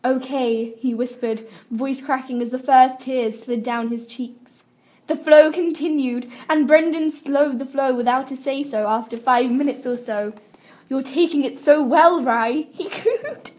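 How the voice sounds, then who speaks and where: A young woman with a high voice reads fan fiction aloud as an amateur. She performs it as a dramatic reading. Her delivery is giggly and prone to breaking up. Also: measured pace, a little mellow. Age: young adult. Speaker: amateur reader. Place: room at a school.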